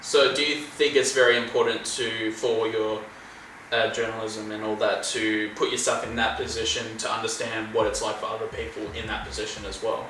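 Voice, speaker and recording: A man's voice: lowish voice, little pitch movement, brisk, pushed and bright, a little hiss or hum. A man hosting an interview podcast, chatting casually and enthusiastically, often calling things incredible. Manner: enthusiastic, keeps saying "incredible". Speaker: podcast host. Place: room in a home. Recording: very echoey room, mic at a distance.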